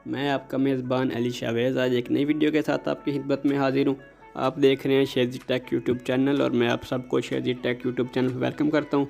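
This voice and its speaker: A man's voice: mid-range voice, flat delivery, a little fast, gravelly, a little mellow, soft background music. A man hosting a casual app tutorial, politely addressing his viewers directly as he explains each step. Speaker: host of a tech tutorial channel. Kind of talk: casual scripted-style app tutorial narration.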